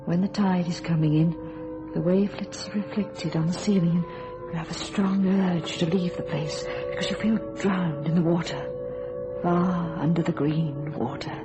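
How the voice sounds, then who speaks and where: An actress playing a haunted, pleading wife in a radio thriller, with an earnest and dreamy dramatic delivery. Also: female voice, fairly low pitch, steady tone, normal speed, close to an everyday voice, poor audio. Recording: radio drama with music behind.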